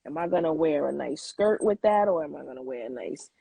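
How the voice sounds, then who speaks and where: Husky-voiced woman in her forties, chatting casually. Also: sits low, lively intonation, brisk, warm tone, no echo. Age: 40s. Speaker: interview guest, sneaker collector. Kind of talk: casual interview chat.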